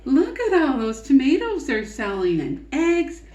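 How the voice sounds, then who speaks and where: A woman reading a picture book aloud to children in an expressive, coaxing way, in an echoey room. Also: high-pitched, measured pace. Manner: expressive, coaxing, asks the listener questions. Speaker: read-aloud teacher and storyteller. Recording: roomy echo.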